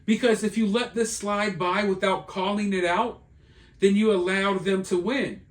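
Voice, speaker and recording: A man with a high voice that has gone hoarse from overuse, sounding worn out. He rants with emphatic indignation, delivering his commentary straight to the camera on his own. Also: steady tone, unhurried. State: tired. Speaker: online video commentator. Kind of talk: solo commentary vlog.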